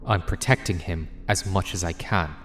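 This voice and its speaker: A man's voice: sits low, moderate pace, a bit bright, faint music behind. A man playing an anxious, defensive time-travelling alien in an audio drama, speaking in an agitated, insistent way.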